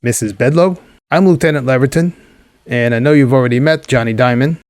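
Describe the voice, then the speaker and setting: A man's voice: medium pitch, fairly quick, darkish tone, no echo, studio quality, natural voice. Man playing a businesslike radio police lieutenant. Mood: formal. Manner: official, matter-of-fact. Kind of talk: 1940s radio detective drama acting.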